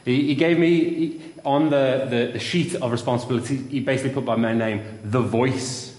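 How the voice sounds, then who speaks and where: A young man preaching and telling a story in a church, with a loud voice. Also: medium pitch, steady tone, normal speed. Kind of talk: sermon with story. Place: church hall. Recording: roomy church with long reverb.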